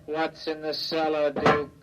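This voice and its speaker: An actor voicing a naive, obedient robot with a harsh, droning, childlike delivery in old radio drama. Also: male voice, a little high, measured pace, lightly acted. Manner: flat, literal, repetitive. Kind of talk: 1950s radio drama acting. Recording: dull old radio broadcast, faint music.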